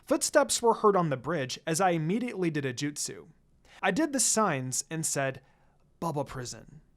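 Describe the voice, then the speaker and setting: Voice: medium pitch, very animated, normal speed. A reader narrating a first-person story in a dramatised way. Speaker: fan fiction reader.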